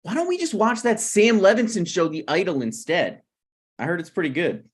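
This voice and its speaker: A man's voice: high voice, sing-song, fairly quick. A man playing a down-on-his-luck, evasive thirty-something friend in a naturalistic comedy table read. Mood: glum, evasive. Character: unshaved, down-on-his-luck 37-year-old male friend, evasive.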